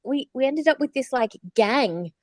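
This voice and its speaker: A woman's voice: high-pitched, expressive, measured pace, dry recording, studio quality. A woman co-hosting a podcast, telling stories in blunt, jokey, slangy casual chat. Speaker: podcast co-host; voice actor.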